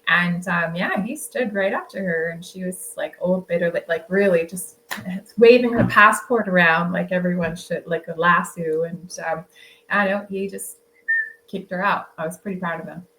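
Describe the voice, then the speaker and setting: A woman's voice: on the low side, moderate pace, a little hiss or hum, poor audio. Chatty woman telling travel stories. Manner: chatty, laughs often, animated retelling. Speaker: author guest. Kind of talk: casual storytelling.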